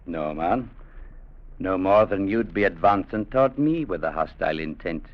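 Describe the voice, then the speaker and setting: An actor playing a firm, plainspoken young clerk who also narrates, in 1940s radio drama style on an old recording. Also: male voice, low voice, lively intonation, moderate pace, muffled recording. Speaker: narrator and lead.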